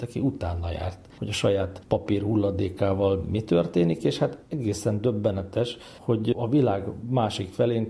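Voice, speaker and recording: A man's voice: on the low side, gravelly, mellow. A man with a Hungarian accent explaining things step by step as an opinionated interviewed expert. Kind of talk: spontaneous explanatory interview talk.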